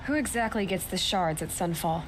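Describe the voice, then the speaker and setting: A woman's voice: fairly low pitch, expressive, a little fast, a little hiss or hum. A woman playing a young, principled, self-reliant tracker in a video game, with a direct, questioning manner. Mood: guarded, challenging. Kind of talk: video game dialogue acting. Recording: music bed behind the voice.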